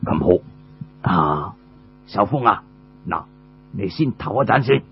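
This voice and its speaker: A man voicing an elderly army commander in an audiobook tale, a slow, halting, paternal father figure. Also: lowish voice, lively intonation, creaky, dull audio, faint music behind, voice pushed down, full character voice. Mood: paternal, weighty. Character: elderly male army commander, weighty, privately grumbling father.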